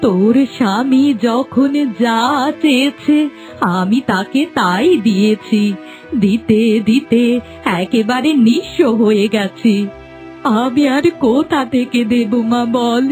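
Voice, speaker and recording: A woman playing a frail, devoted old village mother in a cartoon, speaking in a slow, drawn-out, plaintive way. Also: on the high side, a little mellow. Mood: weary, protective. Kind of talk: melodramatic cartoon acting. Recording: music bed under voice.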